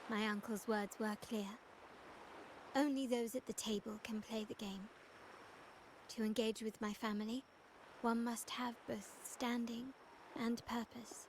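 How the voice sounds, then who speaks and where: An actress playing a formal, cool and calculating heiress of a powerful family in a video game, speaking in measured, composed lines. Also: female voice, fairly high pitch, fairly even intonation, slight background noise. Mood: formal, assured.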